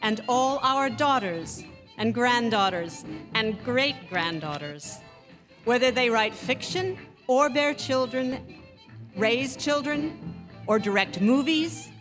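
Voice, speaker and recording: Higher-pitched woman narrator over music. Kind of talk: scripted narration.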